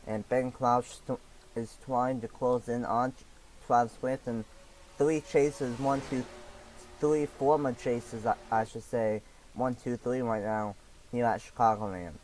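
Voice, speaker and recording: A young man calling a sim racing league race play by play, a little raspy from allergies, with halting delivery, frequent fillers and restarts. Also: medium pitch, fairly even intonation, a little mellow, a little hiss or hum, low-quality recording.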